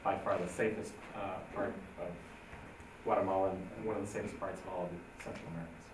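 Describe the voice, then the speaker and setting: A man's voice: deep voice, steady tone, fast talker. A man leading a program info night, explaining plans in an upbeat, informal way. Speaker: program leader. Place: school meeting room. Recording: echoey room, distant mic, faint noise.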